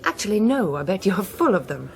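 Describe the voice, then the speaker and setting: An actress playing a clever young Englishwoman in political circles in a radio drama, with a quick-witted manner. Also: mid-range voice, big pitch swings, vocal fry. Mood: bantering. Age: young adult. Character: clever, bantering young Westminster woman.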